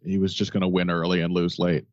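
Male podcast co-host, low-pitched, opinionated and dry-humoured, dead-dry muffled recording. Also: flat delivery, fast talker, dry recording. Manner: blunt, wry, opinionated. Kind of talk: casual analytical chat. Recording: dull dry lo-fi audio.

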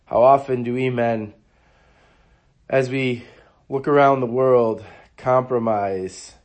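A hoarse man, under the weather, hosting a devotional podcast and reading quotes in an earnest, measured way. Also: on the low side, a little mellow. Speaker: podcast host.

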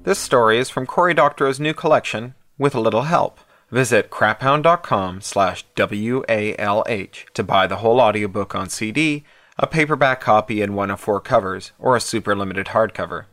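A man's voice: lowish voice, normal speed, fairly bright tone, no echo. A man giving a scripted spoken introduction as an announcer. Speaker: announcer introducing a story and its licence.

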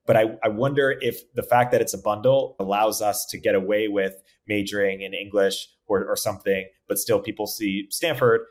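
A man hosting a conversational podcast interview, with a halting delivery that repeats words as thoughts form. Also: fairly low pitch, steady tone.

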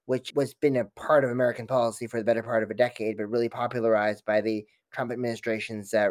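Fast-talking male academic, wordy and halting. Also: medium pitch, no echo. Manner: wordy, halting with repeated false starts. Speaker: academic expert guest. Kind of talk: analytical interview answers.

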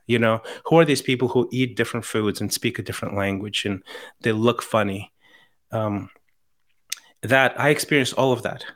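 A man's voice: lowish voice, moderate pace, a bit bright. Earnest Chilean man talking. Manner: earnest, with frequent restarts. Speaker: podcast guest. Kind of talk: casual conversation.

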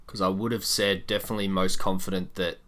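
A man co-hosting a sports podcast, talking through basketball in a casual but measured, explanatory way. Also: deep voice, flat delivery, a bit bright.

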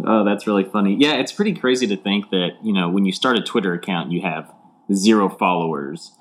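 A man's voice: sits low, a little fast. A man hosting an interview, asking questions in a friendly, loose way with frequent "like". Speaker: host.

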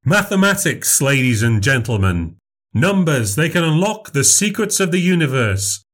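A man voices an eager memory showman in this spoken story, pitched up from the reader's own voice. He is formal and overly polite, putting on a show for the crowd. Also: big pitch swings, normal speed, fairly bright tone. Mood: showy, polite. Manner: showy, overly polite, says "sir" and "madam".

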